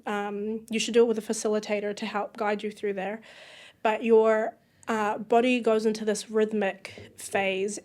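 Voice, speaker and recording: A woman's voice: medium pitch, a little mellow. A woman from Iraq, a podcast guest, talking reflectively and searching for words in a casual interview.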